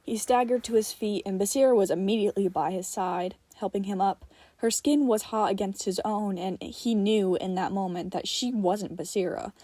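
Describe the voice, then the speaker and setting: A woman's voice: mid-range voice, normal speed, warm tone, no room sound. A woman reading fiction aloud as a podfic narrator, in a dramatic storytelling style.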